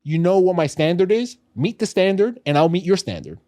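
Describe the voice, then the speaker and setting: A man's voice: high-pitched, sing-song, a little fast, warm tone, no echo. A man in his thirties with a New York accent, co-hosting a podcast in casual, emphatic, slangy chat. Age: 30s. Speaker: podcast co-host. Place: podcast studio.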